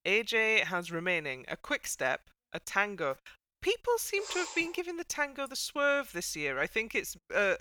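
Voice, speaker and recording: Bright, clear-voiced woman podcast host. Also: medium pitch, very animated, normal speed, no room sound. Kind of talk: casual discussion of a TV dance show.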